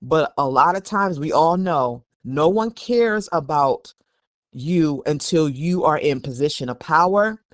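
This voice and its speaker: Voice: medium pitch, animated, measured pace, no echo, clean studio sound. A podcast host reading an article and giving candid, emphatic opinions in a conversational way. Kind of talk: reading an article aloud, then opinionated commentary.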